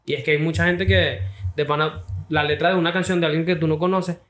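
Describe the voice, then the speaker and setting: A man's voice: a little high, fairly even intonation, fast, fairly bright tone. A young Venezuelan man, a guest musician, talking loosely and casually about his work into a studio mic. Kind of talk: casual interview chat.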